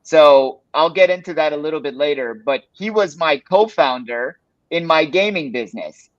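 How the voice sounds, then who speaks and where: A man from Los Angeles talks through a presentation in a loose, conversational way, dropping "uh" and "you know" as he goes. His voice sits fairly high for a man. The close, dry sound has a muffled, low-fidelity quality. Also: expressive, moderate pace, no echo. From Los Angeles, United States. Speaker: presenter of a pitching workshop. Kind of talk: casual live-stream presentation.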